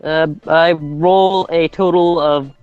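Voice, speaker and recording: A man's voice: fairly high pitch, fairly even intonation, slow pace, clear, resonant tone, no echo, highs cut off, faint music behind. A man playing a tabletop game, chatting casually and hesitantly as he thinks aloud.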